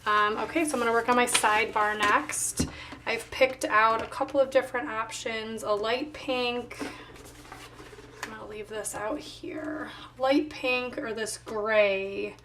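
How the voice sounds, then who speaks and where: Woman, fairly high voice, slightly hoarse, casual craft vlog narration, thinking aloud, a bit distant from the mic. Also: fairly even intonation, background noise. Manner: unhurried thinking aloud while working. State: slightly hoarse, voice not recovered. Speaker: planner vlogger.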